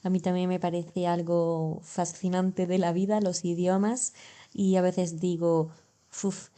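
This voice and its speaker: A woman, co-hosting a casual language podcast and giving calm everyday examples. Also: fairly low pitch, a little slow. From Andalusia, Spain. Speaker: podcast co-host. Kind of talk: casual educational chat.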